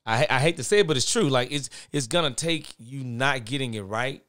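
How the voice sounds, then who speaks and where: A middle-aged man with a naturally big, forceful voice, talking candidly in a podcast conversation and getting louder when he drives a point home. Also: mid-range voice, a little fast, no echo, studio quality. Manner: earnest and candid, speeds up and swells in volume when making a point. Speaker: guest, father of boys.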